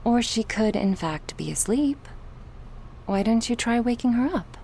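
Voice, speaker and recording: A woman's voice: a little high, animated, measured pace, darkish tone, slight background noise. A woman playing a sly, taunting, thirsty vampire outsider in a scripted audio drama, teasing and smug.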